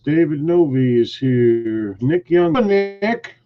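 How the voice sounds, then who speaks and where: A man's voice: fairly high pitch, animated, slow, lo-fi sound, soft background music. A man hosting a casual live stream, greeting viewers and teasing his co-hosts in a joking, rambling way. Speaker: host.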